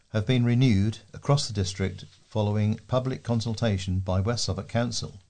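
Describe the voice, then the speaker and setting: A man's voice: fairly low pitch, darkish tone. A man reading local news aloud as a volunteer newsreader.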